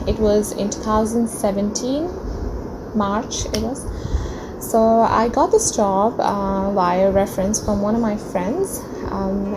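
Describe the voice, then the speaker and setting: A woman's voice: mid-range voice, low-quality recording. A young woman, English not her first language, telling a personal story to camera in a chatty way with many ums and uhs, in a small room. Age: young adult. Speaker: vlogger.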